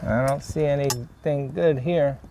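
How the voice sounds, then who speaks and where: A man's voice: mid-range voice, a little slow, dark tone, slight background noise. A man narrating a hands-on DIY job casually as he works, thinking aloud in short, plain, unscripted remarks. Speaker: DIY vlogger demonstrating a vehicle repair. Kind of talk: casual hands-on how-to narration while working.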